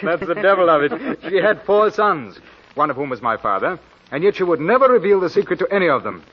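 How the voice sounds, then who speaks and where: An actor playing a teasing young Russian gentleman, arch and wry, in a 1940s radio drama. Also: fairly high pitch, sing-song, fast talker, breathy edge. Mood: wry, amused. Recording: muffled vintage 1940s radio recording.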